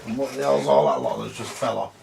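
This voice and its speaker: A man's voice: on the low side, sing-song, quick pace, gravelly, darkish tone, slight background noise. A man with a British accent giving casual, grumbly, mildly sweary commentary to viewers while doing hands-on repair work. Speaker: host of a vintage radio repair vlog.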